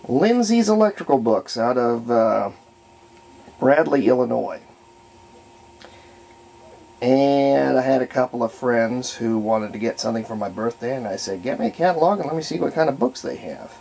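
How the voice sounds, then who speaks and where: A middle-aged American man with a gravelly, creaky voice talks slowly and haltingly through a hobby demonstration. His delivery is folksy and unscripted, with phrases that trail off. There is faint noise behind him. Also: mid-range voice, a little mellow, poor audio. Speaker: hobbyist presenter demonstrating a homebuilt radio. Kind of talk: casual unscripted show-and-tell. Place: indoors at a cluttered hobby desk.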